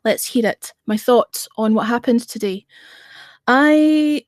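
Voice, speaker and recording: A woman's voice: a little high, slow pace, dry recording. A middle-aged woman hosting a casual livestream, rambling and chatting in reply to viewer comments. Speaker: radio show host on a livestream.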